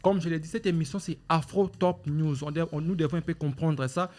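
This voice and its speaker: A man's voice: a little high, fairly even intonation, darkish tone. Congolese guy hosting a talk show, formal, repeats himself a lot, lots of euhs. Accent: Congolese French. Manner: formal, repetitive, halting with many fillers and restarts. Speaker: show host.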